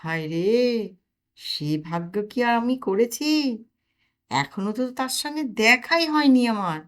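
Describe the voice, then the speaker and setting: A woman's voice: fairly high pitch, very animated, a little slow, a bit bright. A woman voicing a boastful young man who loves exercise and lectures his friend. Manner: lecturing, showing off.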